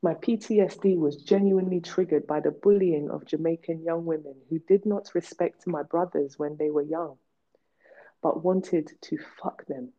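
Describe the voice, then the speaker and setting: A low-voiced woman speaks with blunt, emphatic force, repeating words to drive her point home. Her tone stays candid and personal, and the recording sounds dull and lo-fi. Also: steady tone, moderate pace, warm tone. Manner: emphatic, blunt, repetitive for stress. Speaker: podcast host. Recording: dull lo-fi podcast audio.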